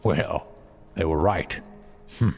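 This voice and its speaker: A man playing a wise old male dragon mentor in an audio drama, speaking in a measured, fond, halting way. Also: sits low, a little mellow, soft background music. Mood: grave, explaining.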